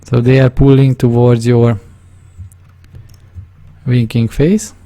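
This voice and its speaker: A man with a Hungarian accent talks through a drawing tutorial at a desk microphone. His voice is mellow and gravelly. He often pauses to search for words and corrects himself as he goes. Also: medium pitch, level tone. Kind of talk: casual screen-recorded tutorial narration.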